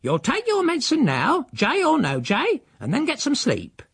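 A man voicing a stern, fretful old housekeeper woman, scolding in a high, shrill voice. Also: wildly swooping pitch, normal speed, gravelly, fairly bright tone, breathy edge, no room sound, clean studio sound, heavily acted.

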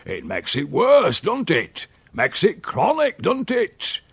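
A man voicing a wicked, droll old country uncle with a rural English accent, gruff and fruity, spinning tall tales in a storybook reading. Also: high-pitched, huge pitch swings, fairly bright tone, no echo, voice pushed up, heavily acted. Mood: aggrieved. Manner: sly, drawn-out dialect storytelling.

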